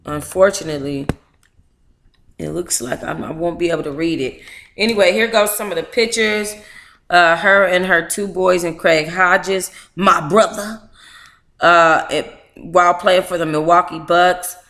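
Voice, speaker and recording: Roomy echo, middle-aged woman, lowish pitch, chatty book club host, candid and colloquial. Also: unhurried, a bit bright. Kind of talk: casual livestream chat with reading aloud. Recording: roomy, echoing room sound.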